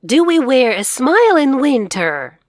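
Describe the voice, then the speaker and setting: High, lively woman teacher reading aloud slowly. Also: slight background noise. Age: middle-aged. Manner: clearly enunciated, repetitive teaching delivery. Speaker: English teacher and read-aloud host. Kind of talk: children's read-aloud lesson.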